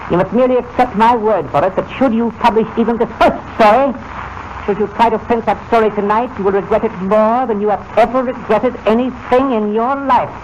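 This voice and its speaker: An actor playing a smug, menacing invisible villain in a 1940s radio drama, speaking formal, deliberate threats with mocking courtesy. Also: male voice, high voice, close to an everyday voice. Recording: noisy, dull old-time radio recording.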